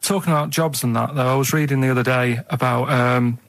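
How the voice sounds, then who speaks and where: A man in casual comic chat, explaining things earnestly in a halting, muddled way. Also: medium pitch, fairly even intonation, gravelly. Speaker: radio show regular pitching ideas.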